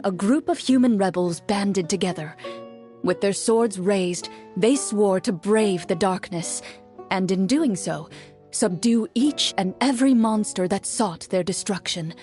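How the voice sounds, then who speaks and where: An actress playing a composed, cool-headed game master who narrates fantasy in a measured way for a video game. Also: female voice, medium pitch, a little mellow, natural voice. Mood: solemn, storytelling. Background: music.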